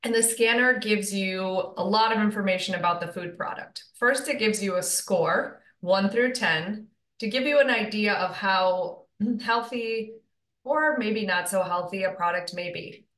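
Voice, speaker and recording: A woman from Michigan explains things cheerfully and clearly as an interview guest. Her voice is bright and crisp, and it rings out in a noticeably echoey room. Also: mid-range voice, measured pace. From Michigan, USA. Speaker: registered dietitian, guest. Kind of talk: casual interview chat.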